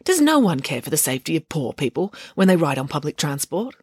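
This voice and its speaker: A woman's voice: low-pitched, sing-song, fairly quick, darkish tone, breathy edge, no room sound, studio quality, full character voice. Woman acting a blithe, lilting know-it-all girl. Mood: puzzled, curious. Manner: blithe, precise, matter-of-fact. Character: chatty, posh, unflappable know-it-all rich girl.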